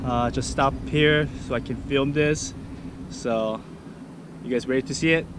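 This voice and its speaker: A man's voice: medium pitch, steady tone, a little slow, background noise. A man in his thirties vlogging casually to camera, upbeat and eager with loose fillers, first from a truck cab and then outdoors in a parking lot on a handheld camera. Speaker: fishing vlogger. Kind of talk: casual vlog talk to camera. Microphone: action camera on dash, then handheld at arm's length.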